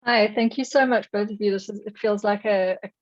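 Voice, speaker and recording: South African woman, halting and searching. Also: mid-range voice, fairly even intonation, dry recording. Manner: searching for words, many restarts. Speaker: audience member asking a question. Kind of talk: online seminar question. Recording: dull dry video call audio.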